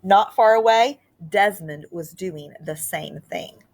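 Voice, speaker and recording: A woman teacher reading a picture book aloud to her class in an expressive, sing-song way. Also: mid-range voice, slow pace. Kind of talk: storybook read-aloud for children.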